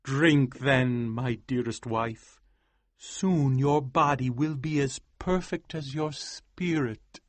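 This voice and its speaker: An intense, ambitious scientist husband, as a male narrator puts him on. He speaks earnestly with lively rises and falls in pitch, and the recording is dry and close. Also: takes it slowly, dry recording, heavily acted. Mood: calm.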